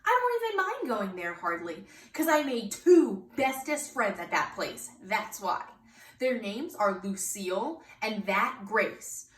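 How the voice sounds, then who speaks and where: A woman's voice: medium pitch, very animated, slow pace. A woman reading a children's book aloud expressively, with emphatic delivery aimed directly at young listeners. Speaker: storybook reader for children. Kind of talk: expressive read-aloud narration. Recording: echoey room, distant mic, faint noise.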